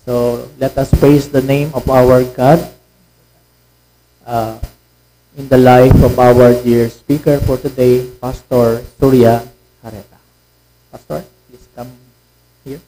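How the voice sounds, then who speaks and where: A man with a dark, mellow voice introduces a guest preacher from a church pulpit. He reads the biography into a stand mic, adding small unsure asides, over a faint hum. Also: medium pitch, level tone, slow pace, pauses often, low-quality recording. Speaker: pastor introducing a guest speaker. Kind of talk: speaker introduction. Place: church sanctuary.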